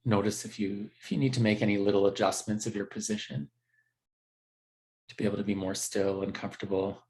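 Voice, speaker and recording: Man gently guiding a meditation. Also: lowish voice, little pitch movement, poor audio. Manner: gentle, unhurried, halting with pauses and self-corrections. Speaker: meditation teacher. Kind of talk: guided meditation instruction, conversational.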